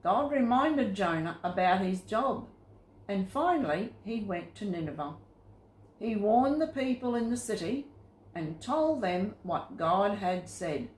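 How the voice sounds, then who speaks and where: A woman narrates Bible stories for young children. She goes slowly and keeps the telling simple and expressive. The recording has a noticeable room echo around her voice. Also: mid-range voice. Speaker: storyteller for children. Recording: roomy, echoing sound.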